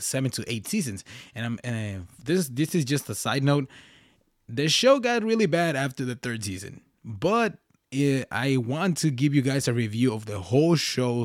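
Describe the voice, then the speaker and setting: A young man talks casually on his own podcast, rambling, restarting sentences and dropping slang. His voice sits at an ordinary middle pitch. The sound is dry and close, with no room echo. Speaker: podcast host. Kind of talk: casual solo podcast talk.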